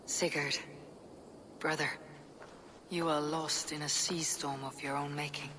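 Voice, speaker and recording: A woman playing a determined Viking warrior, loyal to her kin, measured and commanding in a video game. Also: sits low, flat delivery, background noise. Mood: troubled, solemn. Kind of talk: video game cutscene acting.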